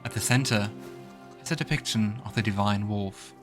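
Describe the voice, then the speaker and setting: Lower-voiced man doing game commentary. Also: moderate pace, over music. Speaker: let's play host. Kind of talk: game commentary and reading game text aloud.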